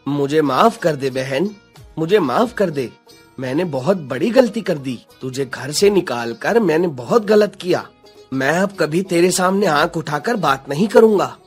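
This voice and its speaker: A man's voice: on the high side, animated, unhurried, slightly rough, faint music behind. A man voicing a repentant brother who begs dramatically in a Hindi moral-story cartoon. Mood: remorseful, pleading. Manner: pleading, dramatic. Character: repentant adult brother begging forgiveness.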